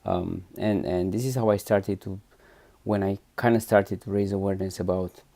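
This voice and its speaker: A man with a Romanian accent talks through a personal testimonial in a low, gravelly voice. His tone is dark and mellow. He hesitates, repeats words and restarts often, checking in with "right?". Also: steady tone, moderate pace. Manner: hesitant, many restarts and fillers, frequent "right?" tags. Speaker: therapy client giving a testimonial. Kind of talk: unscripted spoken testimonial.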